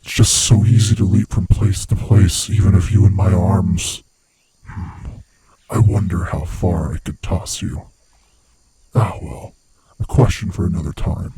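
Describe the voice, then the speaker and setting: A man voicing a sinister, gloating monster who hunts human prey, with a husky, rasping voice and a slow, taunting manner, in a horror ASMR roleplay. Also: steady tone, dark tone, a little hiss or hum. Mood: smug, possessive. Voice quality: husky, breathy, rasping low voice. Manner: slow, menacing, theatrically taunting.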